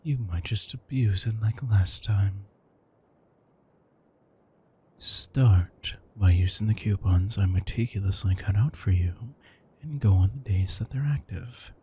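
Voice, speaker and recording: A man playing a retired supervillain turned caring, thrifty house husband in an intimate audio roleplay, smug, dry and affectionate, with a husky, gravelly voice. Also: on the low side, unhurried, dark tone, a little hiss or hum, close to an everyday voice. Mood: teasing, stern.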